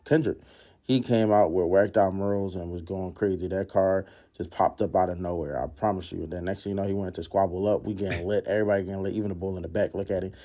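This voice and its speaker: Deep-voiced man, darker tone. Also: steady tone, fast talker, warm tone. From Philadelphia, United States. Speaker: concertgoer narrating his vlog. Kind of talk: casual voice-over recap.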